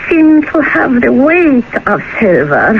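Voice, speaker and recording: Suspicious old woman, fretful and hesitant, slow delivery, muffled old radio drama sound. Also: high-pitched, very animated, noisy background, heavily acted. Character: suspicious, querulous old female pawnbroker.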